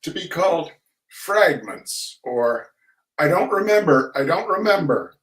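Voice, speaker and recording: A man reading humorous essays aloud in a deliberate, theatrical way. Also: fairly high pitch, big pitch swings, a bit bright. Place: echoey room, recorded at a distance from the mic. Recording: echoey room, distant microphone.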